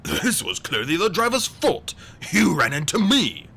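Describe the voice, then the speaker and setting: A man voicing a stern, pompous gentleman who admonishes people fussily in an audiobook story. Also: a little high, huge pitch swings, measured pace, pitched above the performer's own voice, exaggerated voice. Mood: startled, indignant. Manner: pompous, admonishing, throat-clearing. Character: fussy, stern, pompous adult gentleman, easily offended.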